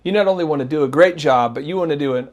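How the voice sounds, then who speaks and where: A man's voice: on the high side, rapid-fire, a little hiss or hum. A man hosting a podcast interview, appreciative and enthusiastic. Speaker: podcast host. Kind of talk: interview chat.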